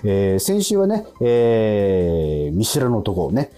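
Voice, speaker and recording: A man's voice: fairly low pitch, wildly swooping pitch, slow pace, warm tone. A man co-hosting a radio show, reading out mail and chatting casually plenty of small interjections. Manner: chatty, frequent backchannels. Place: remote recording from home.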